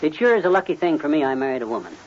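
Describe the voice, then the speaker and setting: An actor playing a boastful, bumbling middle-aged American husband in 1950s radio comedy, with folksy, pompous phrasing. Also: male voice, fairly high pitch, sing-song, rapid-fire. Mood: breezy. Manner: folksy, tongue-twisting wordplay, pompous phrasing. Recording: dull, noisy 1950s radio recording.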